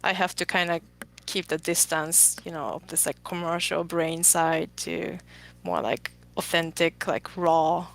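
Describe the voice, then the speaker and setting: Low, gravelly woman from Japan. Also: level tone, rough recording. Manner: thoughtful, halting, many fillers. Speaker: guest artist. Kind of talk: casual podcast chat.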